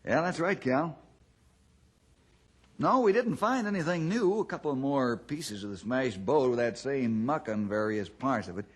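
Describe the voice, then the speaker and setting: An actor playing a steady, thoughtful, fatherly ranger in an old-time radio drama, folksy and reassuring. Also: medium pitch, unhurried, slightly rough.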